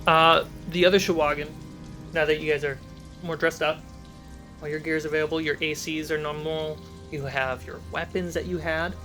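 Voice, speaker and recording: Male game master, higher voice, conversational tabletop fantasy narration and rule calls, light music underneath. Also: level tone, moderate pace, sharp tone.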